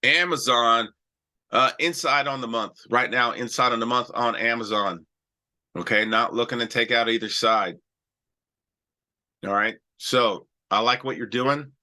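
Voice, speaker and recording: A man's voice: medium pitch, a little slow, bright, forceful tone. A man hosting a live stock trading show, talking loosely and chattily through charts while he has a cold. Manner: loose and chatty, many restarts and "all right"s. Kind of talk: casual live-stream chart talk.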